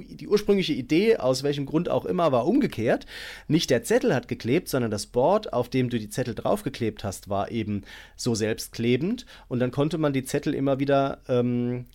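A man co-hosting a podcast, explaining a story in a relaxed way with many fillers. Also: mid-range voice. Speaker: podcast co-host. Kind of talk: casual podcast chat.

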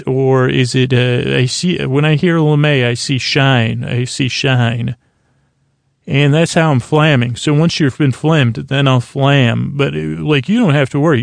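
A man with a creaky voice hosting a sleep podcast, talking in a meandering, halting, whimsical monologue that circles and over-describes. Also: mid-range voice, no room sound. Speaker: podcast host. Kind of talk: rambling bedtime monologue to help listeners sleep.

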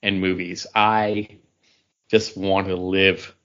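A man with a fairly low voice hosts a relaxed chat among friends. He talks like an enthusiastic fan. The audio is a little dull and lo-fi. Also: slow. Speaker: podcast host.

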